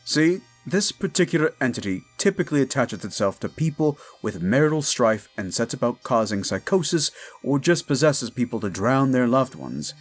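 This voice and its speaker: Man playing a confident, animated folklorist ghost hunter. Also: medium pitch, moderate pace, hardly any pauses, husky, soft background music. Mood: grave, explaining. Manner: explanatory, assured. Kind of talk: audio drama acting.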